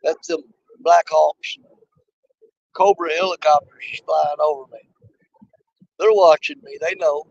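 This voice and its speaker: Fishing host, man, hoarse and gravelly, folksy rambling talk to camera outdoors, muffled dry mic. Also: a little high, steady tone, a little slow, pauses often, darkish tone, no room sound. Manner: rambling, folksy, talks straight to viewers. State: hoarse, losing his voice. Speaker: fishing live-stream host. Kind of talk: casual live-stream chat. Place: outdoors at a small lake.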